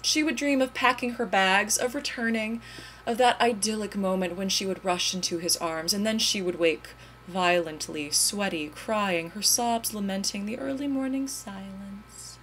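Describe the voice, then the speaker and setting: A woman's voice: medium pitch, normal speed, a bit bright, a little hiss or hum, poor audio. A woman giving a melodramatic dramatic reading of overwrought prose, with a theatrical, mock-tragic and emphatic delivery. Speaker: reader.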